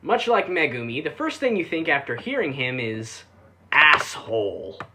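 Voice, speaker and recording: Casual review narrator, middle-pitched and highly animated, sardonic and dryly joking in delivery. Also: moderate pace, noisy background. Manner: sarcastic asides and dry jokes.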